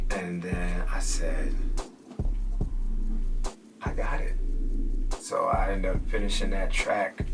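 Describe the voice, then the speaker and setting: A man's voice: low voice, distant mic. A young man casually telling how he made his own rap track, loose and profane, acting out what he said at the time and sometimes dropping to a whisper. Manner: loose and profane, acts out his own past remarks, drops to a whisper at times. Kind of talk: casual storytelling. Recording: voice from off-mic with music playing behind.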